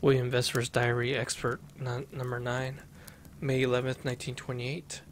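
Young man reading game text flatly. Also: mid-range voice, normal speed, background noise. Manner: even, steady reading with occasional restarts. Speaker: gameplay streamer. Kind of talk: reading game text aloud while playing. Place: small room at home. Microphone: headset with desk mic.

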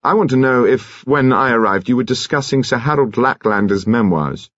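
A British man voicing a courteous, persistent police detective in an audiobook, measured and formal. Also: mid-range voice, lively intonation, fairly bright tone, dry recording, highs cut off, voice pushed up, close to an everyday voice. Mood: probing. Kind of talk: audiobook character acting.

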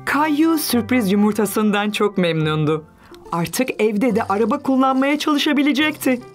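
A woman narrating a children's cartoon as a gentle storyteller and mother figure. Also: a little high, expressive, moderate pace, soft background music, natural voice.

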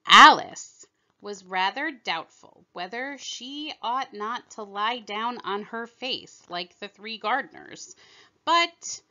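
A woman reading a children's story aloud in deliberate, expressive narration, on a slightly dull recording. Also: fairly high pitch. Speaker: storybook reader.

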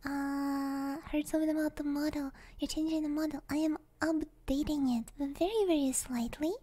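Very high, soft young woman, native Russian speaker. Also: steady tone, normal speed. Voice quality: soft, often dropping into a whisper. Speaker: ASMR streamer. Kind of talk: soft ASMR livestream chat. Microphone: close binaural microphone.

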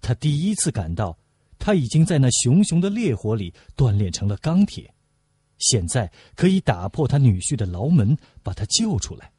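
A man's voice: medium pitch, very animated, moderate pace, warm tone, breathy edge, no room sound. A man reading a novel aloud as scripted audiobook narration.

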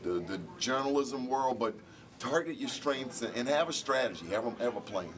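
A man's voice: medium pitch, expressive, crowd noise. A middle-aged man, an event organizer and radio host, speaking reflectively in an on-camera interview in an indoor hall. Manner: reflective, measured, gestures with hands.

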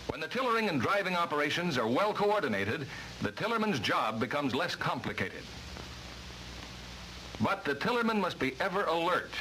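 A man's voice: on the high side, pushed and bright, a little hiss or hum, rough recording. A man reading formal, precise instructional narration for a vintage training film, on a worn old soundtrack.

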